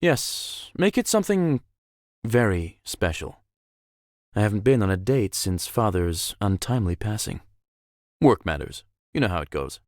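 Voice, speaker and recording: A man's voice: lowish voice, sing-song, unhurried, a little mellow, no room sound, clean studio sound. A man voicing a suave, self-assured young billionaire in a visual novel, with formal, polished and theatrical phrasing. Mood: composed, formal. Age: young adult. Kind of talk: visual novel character acting.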